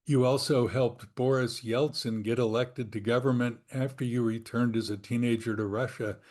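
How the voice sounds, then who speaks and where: A man's voice: medium pitch, steady tone, normal speed, darkish tone. A man hosting a podcast interview, asking questions in an easygoing way with light chuckles. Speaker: podcast host.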